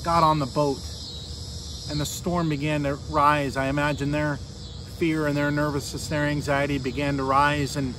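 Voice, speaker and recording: An American man in his forties preaching an informal sermon as a pastor, earnest and conversational, with pauses and rhetorical questions. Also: on the high side, little pitch movement. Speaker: Lutheran pastor. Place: outdoors beside a fallen tree near a street. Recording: outdoor noise, insects and vehicles.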